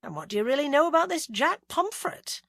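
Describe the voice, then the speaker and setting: A woman voicing a calm, gently teasing male police detective with a soft Gloucestershire accent. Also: high voice, fairly quick, voice pushed up, full character voice.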